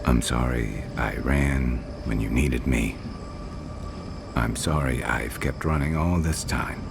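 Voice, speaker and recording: An actor playing a gruff, haunted veteran adventurer in a video game, weary and wry, with a gravelly voice. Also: male voice, takes it slowly, full character voice. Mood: tender, apologetic. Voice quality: very deep, gravelly. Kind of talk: video game character acting. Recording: music behind the voice. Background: music.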